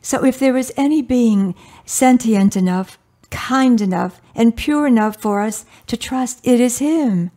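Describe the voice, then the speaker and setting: A woman acting in a radio drama as a devout, gentle older mother, patient and earnest as she counsels her grown daughter. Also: fairly high pitch, unhurried. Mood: earnest conviction.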